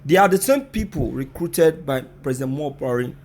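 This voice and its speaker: A man's voice: fairly high pitch, expressive, fast talker, darkish tone, a little hiss or hum. A Nigerian man presenting opinionated news commentary, speaking emphatically and rhetorically, addressing his listeners directly.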